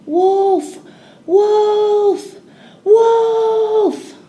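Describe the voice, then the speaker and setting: A woman voicing a mischievous ten-year-old shepherd boy in a storybook, calling out loudly in alarm. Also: steady tone, very slow, heavily acted. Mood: mock alarm. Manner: loud, high calling out.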